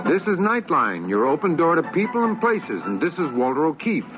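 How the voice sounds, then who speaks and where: An American man hosts in vintage radio style, reading a scripted introduction. His fairly high voice is very animated over loud music on a muffled old recording. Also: fairly quick. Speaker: radio programme host.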